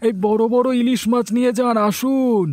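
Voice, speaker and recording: A man's voice: extremely high pitch, steady tone, moderate pace, darkish tone, no echo. A man voicing a cheerful, homely fish seller in a cartoon story, with a chatty, eager manner. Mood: eager, friendly. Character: cheerful young married fisherman selling hilsa at market. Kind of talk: Bengali cartoon story dialogue.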